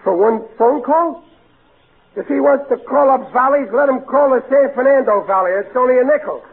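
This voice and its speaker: Blustering American family man, high voice, folksy bad grammar, radio comedy, tinny noisy recording. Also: moderate pace. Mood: indignant. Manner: folksy, bumbling, exasperated, ungrammatical. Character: blustering, soft-hearted working-class American family man. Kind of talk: old-time radio comedy acting.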